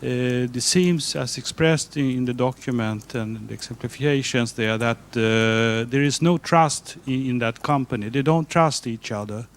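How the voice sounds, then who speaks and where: A man's voice: medium pitch, moderate pace, few pauses. A man contributing to a seminar discussion, hesitant, with many fillers and restarts. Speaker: seminar participant.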